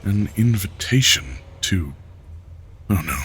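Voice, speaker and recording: An actor playing a serious, guarded male war hero who speaks tersely and measured. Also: lowish voice, expressive, a bit bright, slight background noise. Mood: grave. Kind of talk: audio drama acting.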